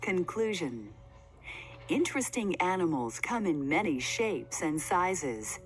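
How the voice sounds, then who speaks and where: Slow, expressive woman reading to children. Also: lowish voice, soft background music. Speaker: read-aloud narrator. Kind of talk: children's book read-aloud. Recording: faint background noise.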